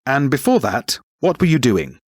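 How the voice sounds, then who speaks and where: A man playing an interviewer who fills in a form, asking brisk and businesslike questions. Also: medium pitch, very animated, husky, no echo. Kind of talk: scripted textbook listening dialogue.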